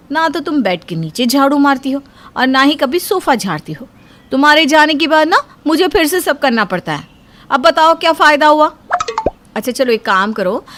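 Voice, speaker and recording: A woman's voice: sits high, a bit bright. A woman playing a jealous, spiteful housewife in a cartoon moral story, sarcastic, bossy and full of complaints. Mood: scolding. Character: spiteful, jealous elder sister-in-law, grumbling housewife.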